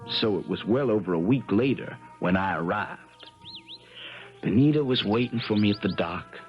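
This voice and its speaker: A man's voice: lowish voice, lively intonation, moderate pace, faint music behind, lightly acted. An actor playing an easygoing, kindly young man telling his adventures in folksy speech, in a 1970s radio drama. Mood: reminiscing. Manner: folksy, colloquial storytelling. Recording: dull 1970s radio broadcast.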